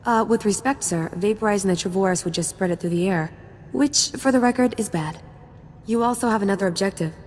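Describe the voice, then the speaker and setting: Actress doing cocky wry army gal in a game, casual and playful, big echoey room, slight rumble behind. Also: female voice, a little fast, a little mellow, lightly acted. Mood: breezy briefing. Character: confident, wry young female special forces sergeant. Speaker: soldier giving mission briefing. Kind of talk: scripted game dialogue.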